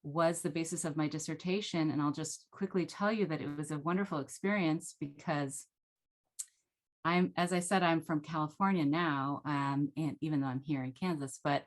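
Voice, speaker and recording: A middle-aged American woman telling her story as a panelist on a video call from home, animated and chatty. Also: sits low, moderate pace. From United States. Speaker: panelist, former Fulbright scholar. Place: home room, indoors.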